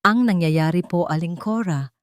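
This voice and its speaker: A woman's voice: lowish voice, moderate pace, clean tone, no echo, lightly acted. A loyal family lawyer in a melodrama story, voiced by a woman narrator. The manner is formal and polite. The sound is dry and close. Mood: stern.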